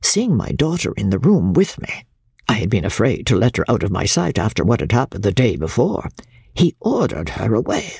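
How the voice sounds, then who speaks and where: Woman playing a haughty, husky Victorian mother. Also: extremely low pitch, sing-song, a little mellow, dry recording, studio quality, heavily acted. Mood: resentful, uneasy. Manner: haughty, emphatic, deliberate storytelling. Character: proud, spiteful, self-righteous middle-aged Victorian mother. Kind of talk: dramatic audiobook reading of classic literature.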